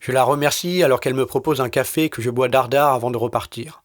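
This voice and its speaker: A man's voice: mid-range voice, steady tone, fast talker. Understated man narrating a short story. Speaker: narrator of his own audio short story. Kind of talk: scripted first-person literary narration. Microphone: studio recording.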